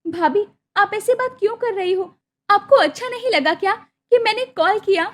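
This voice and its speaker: Woman playing an earnest, very high-pitched village sister. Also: moderate pace, fairly bright tone. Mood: sad, worried. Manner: earnest, pleading. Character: hopeful, devoted young village sister, sweetly pleading. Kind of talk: Hindi cartoon moral story acting.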